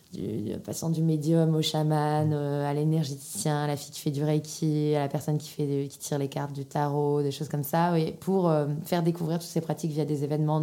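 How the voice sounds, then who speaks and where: A woman's voice: sits low, warm tone. A woman in her thirties with a Parisian French accent, answering casually in an interview and thinking aloud. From Paris region, France. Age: 30s. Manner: informal, hesitant, thinking aloud. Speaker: podcast guest, entrepreneur.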